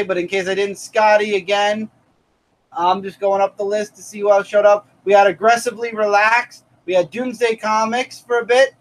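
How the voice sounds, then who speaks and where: A man's voice: high-pitched, poor audio. A man in his thirties hosting a casual comic review live stream, upbeat and thanking viewers by name. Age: 30s.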